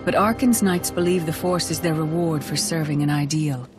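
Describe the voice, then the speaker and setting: An actress playing a calm, wise mystic mentor in a video game, speaking in a measured, earnest way. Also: female voice, deep voice, close to an everyday voice. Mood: earnest, serene. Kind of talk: dramatic video game acting. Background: background music.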